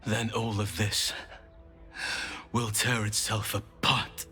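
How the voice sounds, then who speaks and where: A man playing an anguished, corrupted nature druid in a video game, speaking in a grave, tormented, halting way. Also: lowish voice, flat delivery, pushed and bright, faint music behind. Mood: dark foreboding. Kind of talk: dramatic video game character acting.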